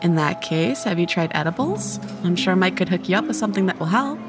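A woman's voice: fairly low pitch, expressive, fairly quick, over music, natural voice. A young woman acting a chatty, blunt college student who cares about her friend, in a casual, wry audio drama delivery. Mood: helpful. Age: young adult. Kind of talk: dramatic dialogue in old time radio style audio play.

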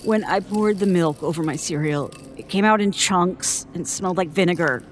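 An actress playing a shaken woman who haltingly recounts a traumatic childhood ordeal in an audio drama monologue. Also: lowish voice, a little hiss or hum, natural voice. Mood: haunted, tense. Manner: halting, haunted storytelling. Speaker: interviewee.

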